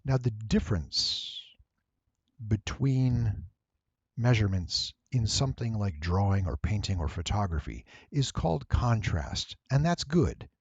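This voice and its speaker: A man narrating a tutorial, explaining things step by step in a deliberate, emphatic way. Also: on the low side, very animated, husky, no echo, dull audio. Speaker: voice actor giving an audio editing tutorial. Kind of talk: explanatory screen-recording narration.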